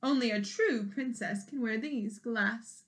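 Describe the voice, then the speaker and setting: A woman's voice: a little high, a little slow, natural voice. A woman voicing a haughty, demanding storybook queen who speaks formally, as if making proclamations. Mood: grand, formal.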